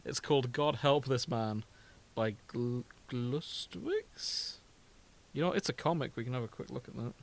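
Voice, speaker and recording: A man's voice: medium pitch, animated, measured pace, vocal fry, a little hiss or hum. A British man co-hosting a podcast in a casual, comedic chat, amused and prone to giggling. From Britain. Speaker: podcast co-host.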